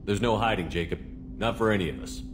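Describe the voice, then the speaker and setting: A man voicing a steady male ship commander with a deep, low voice. He speaks fairly quickly but keeps an even, measured tone. Faint noise sits under the dialogue. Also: close to an everyday voice. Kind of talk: video game dialogue.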